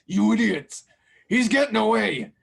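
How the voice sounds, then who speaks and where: Casting: cocky space pirate performed by a man, menacing and loud, higher-pitched with a creaky edge, sci-fi drama. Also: animated, measured pace, exaggerated voice. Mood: furious. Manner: swaggering, loud. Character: boastful, menacing male pirate bounty hunter. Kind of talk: fan audio drama table read.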